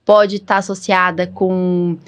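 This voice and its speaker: A woman's voice: lowish voice, flat delivery, takes it slowly, smooth. A Brazilian woman hosting a medical podcast as an allergist, explaining informally and often checking in with little tag questions. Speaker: podcast host, allergist doctor.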